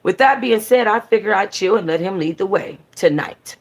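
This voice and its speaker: A woman reading a novel aloud in an expressive, conversational, sassy way with casual slangy asides, as a book club host. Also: mid-range voice, moderate pace, creaky, husky. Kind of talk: expressive first-person audiobook-style reading with casual asides.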